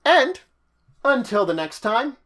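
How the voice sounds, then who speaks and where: A man's voice: very high-pitched, sing-song, slow, fairly bright tone. A man hosting an informal tabletop roleplaying guide, talking to viewers in a chatty, emphatic way that stresses key words. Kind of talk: semi-scripted informal explainer to viewers.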